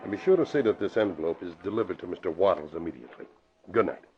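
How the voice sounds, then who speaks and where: An actor playing a greedy, fussy crook, easily flustered and muttering haltingly, in an old-time radio drama. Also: male voice, deep voice, expressive, warm tone. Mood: smug, muttering. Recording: muffled, very noisy old radio recording.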